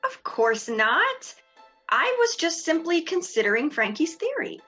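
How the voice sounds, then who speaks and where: A young woman playing a composed, calculating sorority leader in a dramatic reading, with formal, deliberate phrasing. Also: very high voice, big pitch swings, pushed and bright, faint music behind, full character voice. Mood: resolute.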